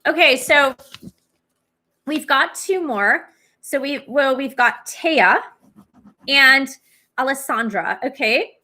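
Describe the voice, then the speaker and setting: Chatty woman on a webcam livestream, kinda high and bright, voice getting tired, small room. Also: takes it slowly, long pauses. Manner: chatty and animated, gesturing with her hands. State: losing her voice. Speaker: livestream host and twin flame reader. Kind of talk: live Q&A answering viewer comments.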